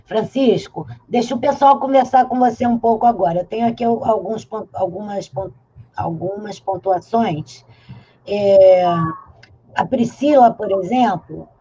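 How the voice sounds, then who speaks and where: Husky woman moderator, muffled sound. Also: a little high, mellow. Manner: friendly, stumbles on words. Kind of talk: reading audience questions on a live panel. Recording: dull lo-fi sound.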